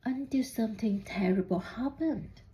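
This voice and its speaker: A woman reading a children's storybook aloud in deliberate, halting phrases, putting questions to young listeners. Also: a little high, noisy background. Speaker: student reading a picture book aloud.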